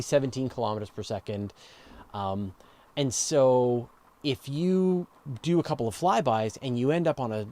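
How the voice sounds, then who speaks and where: Man, mid-pitched, soft mellow tone, conversational, off-the-cuff explainer, live Q&A host, emphatic on key words. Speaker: host of a live space and astronomy Q&A show. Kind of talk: casual explanatory Q&A, answering viewer questions off the cuff.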